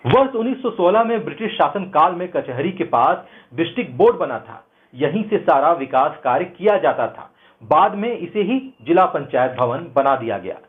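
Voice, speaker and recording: A man hosting a news show, delivering scripted commentary in an emphatic, rhetorical way. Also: fairly high pitch. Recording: narrow phone-like band, thin sound.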